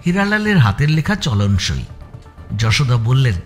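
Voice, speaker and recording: A man's voice: mid-range voice, big pitch swings, normal speed, soft background music. A man reading scripted story narration as an audiobook narrator.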